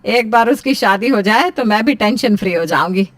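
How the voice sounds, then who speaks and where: A woman's voice: medium pitch, animated, moderate pace, lightly acted. A woman playing an anxious, scolding middle-aged mother in story dialogue, fretful and complaining. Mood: worried.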